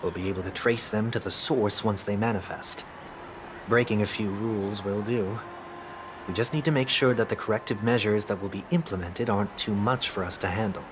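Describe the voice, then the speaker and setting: A man's voice: lowish voice, noisy background, close to an everyday voice. A man voicing a stern, formal young man. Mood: resolute. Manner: measured and formal. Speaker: game character. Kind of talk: video game voice acting. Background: game background music.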